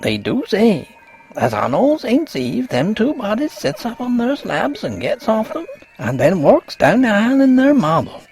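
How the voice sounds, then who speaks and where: A man voicing a superstitious old peasant housekeeper, a stubborn woman with a husky high voice and rustic, foreboding speech in a ghost story. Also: wildly swooping pitch, measured pace, darkish tone, faint music behind, exaggerated voice. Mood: ominous, hushed. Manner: rustic dialect, guarded and foreboding.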